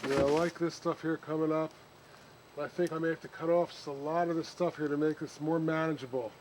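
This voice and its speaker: Higher-voiced man in a noisy recording. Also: steady tone. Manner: halting, thinking aloud while working. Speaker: bonsai hobbyist host. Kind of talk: unscripted hands-on plant demonstration.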